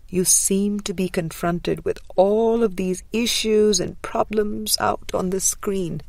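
A woman reading a spiritual book aloud as scripted narration, in a measured, deliberate way with pauses. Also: mid-range voice, creaky, darkish tone, no room sound. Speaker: audiobook reader.

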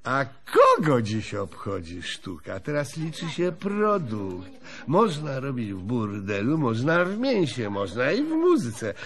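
An actor playing a crude, domineering gangster-like manager in a radio drama, bossy, coarse and cynical. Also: male voice, mid-range voice, wildly swooping pitch, unhurried, fairly bright tone, heavily acted. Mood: cold, cynical.

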